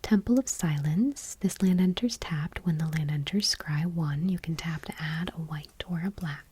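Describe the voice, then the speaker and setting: A woman ASMR host softly reading in a hushed, breathy whisper, gentle and unhurried, item by item. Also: low voice. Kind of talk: soft-spoken ASMR, whispered card reading.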